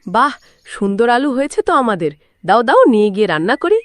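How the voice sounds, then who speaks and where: A woman's voice: a little high, very animated, fairly quick, no room sound. A woman playing a devoted, devout farmer's wife in a village cartoon, speaking earnestly and caringly. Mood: pleased. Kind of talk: Bengali cartoon voice acting.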